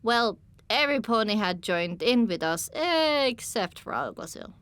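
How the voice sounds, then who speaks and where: High-pitched woman narrating, dry sound. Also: lively intonation, unhurried, fairly bright tone, clean tone, no echo. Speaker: audiobook narrator. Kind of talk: first-person scripted story narration.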